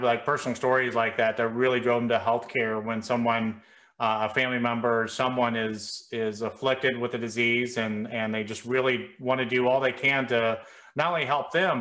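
Bright-voiced male interview host. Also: medium pitch, steady tone, normal speed. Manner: friendly, conversational, with fillers. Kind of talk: interview hosting. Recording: echoey room.

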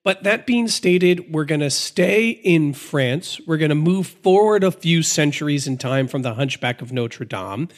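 A congested American man with a slightly high voice co-hosts a podcast. He sounds nasal and a bit gravelly, and he keeps the casual chat cheeky and upbeat. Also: expressive, a little slow, hardly any pauses. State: congested from a sinus infection. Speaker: podcast co-host.

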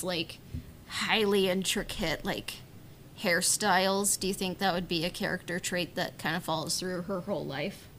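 Girl cohosting a podcast, bright clear-cut voice, chatty, says like a lot, stops and starts. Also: on the low side, slight background noise. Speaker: podcast co-host. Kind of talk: casual chat.

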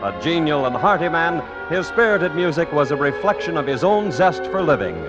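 Male 1950s radio announcer, animated scripted delivery, medium pitch, muffled archival broadcast. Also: normal speed, loud music behind the voice. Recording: dull old radio broadcast recording.

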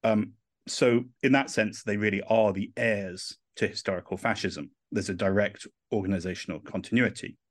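A man's voice: lowish voice, fairly even intonation, moderate pace, no echo, studio quality. A man in his thirties with an English accent, speaking as an author in an interview, thoughtful and full of "uh" fillers. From England. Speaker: author and guest. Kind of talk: podcast interview.

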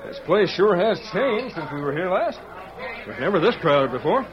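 An actor playing an earnest, polite, businesslike mine partner in an old-time radio western. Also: male voice, high-pitched, very animated, moderate pace. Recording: dull old radio recording, crowd behind.

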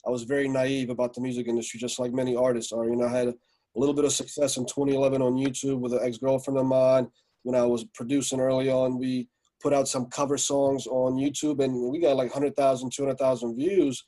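A man from Slovakia in his forties, a guest on a podcast, telling his story in a relaxed, rambling way with many fillers. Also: medium pitch, fast. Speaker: guest, music entrepreneur. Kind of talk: casual podcast interview.

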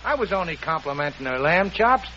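Loud, slangy glutton of a boss, bright, lively pitch. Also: male voice, brisk. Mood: pleading. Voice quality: rough slangy talk. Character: brash gluttonous rich male boss. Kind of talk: 1940s radio acting. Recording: dull old radio broadcast with hiss.

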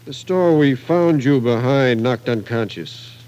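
An American actor playing a patient police captain, questioning with measured persistence in an old radio drama. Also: male voice, mid-range voice, animated, a little mellow, natural voice, poor audio. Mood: patient, probing. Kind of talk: 1940s radio drama acting.

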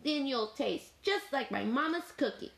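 A woman's voice: sits high, animated, unhurried, creaky, voice pushed up, full character voice. Sly, hungry big bad wolf, voiced by a woman. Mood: gloating.

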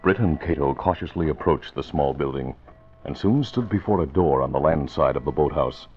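A man's voice: a bass voice, lively intonation, normal speed, a little mellow. An American man narrating and announcing a vintage radio adventure serial with dramatic, emphatic delivery. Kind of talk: 1940s radio scripted narration. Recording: muffled, noisy vintage radio recording.

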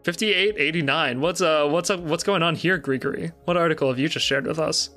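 A man's voice: a little high, fairly quick, bright, forceful tone, soft background music. A man hosting a stream in a casual, chatty way. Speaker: stream host.

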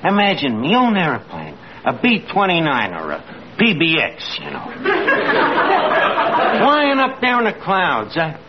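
A boastful, none-too-bright barkeep in an old 1940s radio comedy. His voice sits high and swings freely through slangy, mangled English, over a muffled, noisy recording. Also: wildly swooping pitch, measured pace, a loud crowd. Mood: dreamy boasting. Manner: streetwise, ungrammatical slangy talk.

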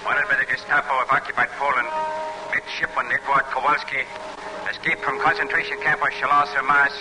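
Actor as a stern wartime police announcer. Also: male voice, mid-range voice, level tone, a little fast, noisy background, natural voice. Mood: menacing. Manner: stern, clipped, official. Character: stern Gestapo announcer barking out wanted notices. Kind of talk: 1940s radio drama announcement.

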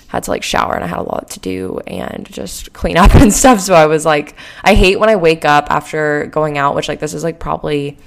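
A young woman in her twenties hosting a casual solo podcast, hoarse and sleepy after a late night, rambling with lots of "like" and "um". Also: deep voice. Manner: rambling, heavy use of "like" and "um". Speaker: podcast host.

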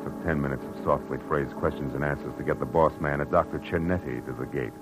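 An actor playing a dry, world-weary American private detective in a 1940s noir radio drama, with clipped, wry delivery. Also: male voice, rumbling low, fairly even intonation, fast. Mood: dry narrating. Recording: old radio recording with background music.

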